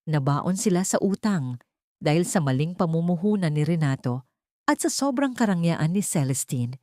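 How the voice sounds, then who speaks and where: A woman's voice: deep voice, expressive, moderate pace, a little mellow, no echo, studio quality. A woman with a Filipino accent narrating a scripted drama story in a solemn, theatrical storytelling manner.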